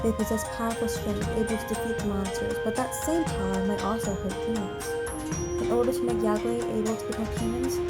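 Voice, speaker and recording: A woman narrator reading a scripted game story aloud. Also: medium pitch. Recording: loud music behind. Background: loud music.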